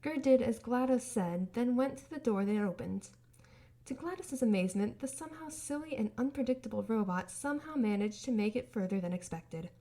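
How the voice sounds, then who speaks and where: A woman reading a fan-written story aloud in a steady, plain narrating voice. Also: a little high, a little fast. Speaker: fanfiction reader.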